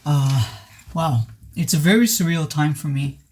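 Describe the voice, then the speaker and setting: A man giving a casual film review to camera, his voice worn and hoarse after being ill, talking earnestly with many halting restarts. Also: on the high side, a little mellow, slight background noise. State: voice worn from recent illness. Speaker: movie reviewer.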